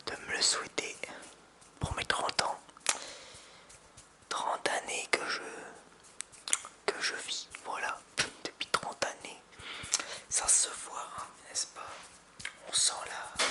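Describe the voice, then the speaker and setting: A man in his thirties whispering softly into a close binaural microphone for ASMR, with hesitant restarts. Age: 30s. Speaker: ASMR creator. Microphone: close binaural mic with hand sounds.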